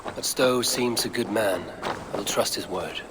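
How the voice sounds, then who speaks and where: An actor playing a grim, shrewd male Viking raider in a video game, speaking in a measured, terse way. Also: lowish voice, noisy background, lightly acted. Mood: low, resolute.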